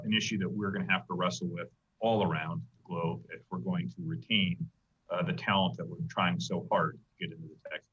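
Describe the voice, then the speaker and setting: A man speaking as an investor on a panel, deliberate and pausing often with "uh". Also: low-pitched, fairly bright tone, breathy edge, lo-fi sound. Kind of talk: panel discussion.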